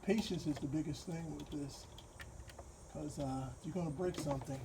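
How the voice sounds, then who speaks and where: A man from Wisconsin with a Midwestern accent, explaining a car repair step by step in a relaxed, rambling way while he works. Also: a little high, background noise. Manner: relaxed, rambling, with fillers and pauses while working. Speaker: DIY car repair host. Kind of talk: hands-on repair walkthrough.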